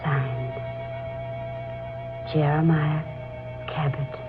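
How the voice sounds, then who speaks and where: Uneasy young woman, lowish voice, 1940s radio thriller, clear music behind, earnest delivery. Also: fairly even intonation, very slow, phone-quality sound. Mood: hushed, solemn.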